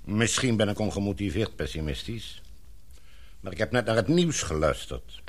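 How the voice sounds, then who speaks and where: A man playing an older English knighted father in a radio drama, authoritative, measured and formal, gloomy yet affectionate. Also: on the low side, expressive. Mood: serious, brisk.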